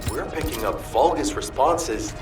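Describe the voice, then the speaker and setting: A man playing a serious technician who gives deliberate, clipped reports in a video game drama. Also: mid-range voice, lively intonation, natural voice. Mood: astonished, focused. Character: serious male technician reporting operation data. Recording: echoey, distant, music behind.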